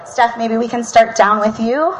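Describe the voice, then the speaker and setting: A woman hosting an outdoor panel, reading an opening statement in a measured, earnest way, then giving casual announcements. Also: on the high side, a little hiss or hum, rough recording. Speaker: event host and panel moderator. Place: outdoor public event.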